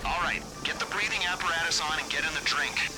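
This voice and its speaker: A hard-nosed agent played by a man barks clipped mission orders in an action game. His voice sits a little high, with loud score and gunfire behind it. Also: fast talker, loud music behind the voice, lightly acted, rough recording. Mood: urgent orders. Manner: clipped, commanding. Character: stern, grudging male government agent handler giving mission orders. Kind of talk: video game mission dialogue.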